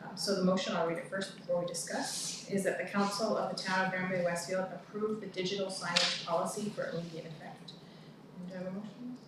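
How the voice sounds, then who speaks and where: A woman with a Canadian accent chairing a town council meeting, reading motions and calling votes in a brisk, procedural way. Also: lowish voice, steady tone. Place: large council chamber. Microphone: far room mic. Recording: echoey room from far off, background noise.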